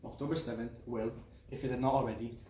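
A man's voice: medium pitch, steady tone, quick pace, dark tone. Young guy from israel doing a prepared student speech in a classroom, echoey, mic kinda far away. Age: teenager to young adult. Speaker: student speaker. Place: small classroom, indoors. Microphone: camera on a desk, several metres off. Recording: roomy, distant mic, faint noise.

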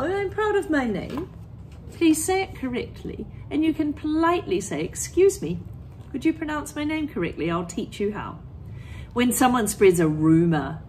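A woman's voice: on the high side, a little hiss or hum, poor audio. A middle-aged woman teacher explaining things to children in plain words and reading aloud expressively from a picture book. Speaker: primary school teacher.

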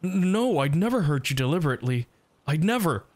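Man acting desperate lovesick yandere, halting and pleading. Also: fairly high pitch, sing-song, dry recording, voice pushed up. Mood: panicked, pleading. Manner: halting, stammering, pleading, breathy sighs. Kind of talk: intimate ASMR roleplay.

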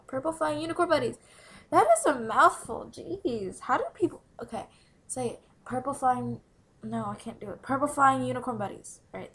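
Giggly young woman with a bright voice. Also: on the high side, vocal fry. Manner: giggly, bubbly, often drops to a whisper. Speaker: vlogger answering follower questions. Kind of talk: casual Q&A vlog. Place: small room indoors by a window. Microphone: webcam from below at close range.

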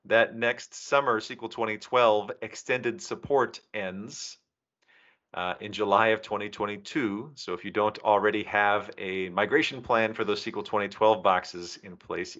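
A man's voice: fairly low pitch, fairly bright tone. A man giving a technical presentation to a user group over an online video call. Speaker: presenter, documentation team member. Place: indoors, remote video call. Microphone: video call microphone. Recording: slightly dull video-call audio.

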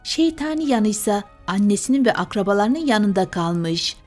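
A woman's voice: medium pitch, darkish tone. Woman, bedtime tale narrator, scripted storytelling, music behind. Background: music and fire sounds.

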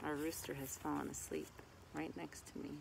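A woman's voice: low-pitched, level tone, moderate pace, creaky. A woman in her thirties reads a children's picture book aloud like a teacher, phrase by phrase with short asides. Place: outdoors in a garden beside a tree. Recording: outdoor background noise with birds and rooster.

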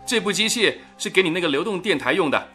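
Animated, bright-voiced wartime radio engineer. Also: male voice, high-pitched, quick pace, soft background music. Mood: lively, teasing. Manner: animated, teasing, exclamatory. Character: talkative, earnest male wartime radio engineer. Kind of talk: radio drama acting.

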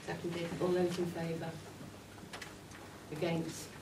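A woman chairing a formal council meeting, moving briskly through votes and agenda items. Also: fairly low pitch, monotone. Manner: brisk, procedural. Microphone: far room mic. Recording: echoey hall, far mic, clear hiss.

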